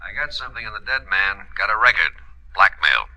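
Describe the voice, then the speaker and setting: An actor playing a gruff, no-nonsense American homicide lieutenant, terse and blunt, in old radio crime drama style. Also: male voice, on the low side, expressive, brisk, pushed and bright, no echo, full character voice, low-quality recording. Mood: businesslike.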